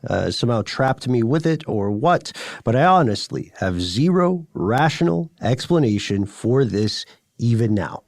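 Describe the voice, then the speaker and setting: Guy acting out a puzzled letter writer, mid pitch, matter of fact, dry studio sound. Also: expressive, measured pace, no echo. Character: puzzled, matter-of-fact listener telling an odd experience. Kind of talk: dramatic reading of a listener letter.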